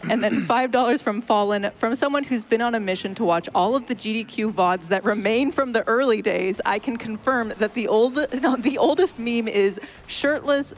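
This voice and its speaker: A woman's voice: on the high side, normal speed, hardly any pauses, a little hiss or hum. A young woman hosting, reading donation messages aloud in a lightly amused way.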